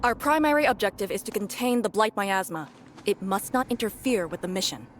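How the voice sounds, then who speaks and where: An actress playing a calm, commanding military task leader in a video game, giving clipped orders with authority. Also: female voice, mid-range voice, fairly quick, a little hiss or hum, lightly acted. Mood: firm, commanding. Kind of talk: scripted video game voice acting.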